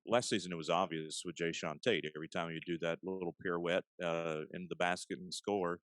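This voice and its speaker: A man who works as an arena announcer, answering interview questions in a measured, explanatory, conversational way. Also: low voice, steady tone, a bit bright, dry recording.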